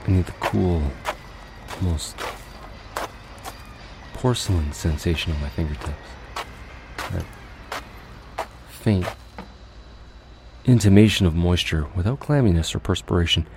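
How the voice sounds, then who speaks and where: A man playing a cold, fastidious, obsessive recluse in an audio drama monologue, speaking in a precise, deliberate, brooding way. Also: sits low, background noise. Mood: disgusted, brooding. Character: cold, fastidious, obsessive male recluse confessing monstrous urges.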